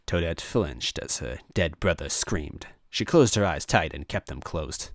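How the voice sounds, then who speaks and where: A man reading a first-person story aloud as an animated narrator. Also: a bass voice, a little slow, no room sound, studio quality. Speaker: story reader.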